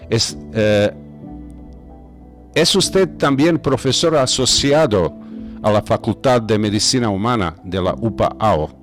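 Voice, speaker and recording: A man hosting an interview in a language that is not his first, speaking haltingly with many pauses and fillers. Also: lowish voice, soft background music. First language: not Spanish. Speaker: host. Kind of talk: interview podcast. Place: home, video call.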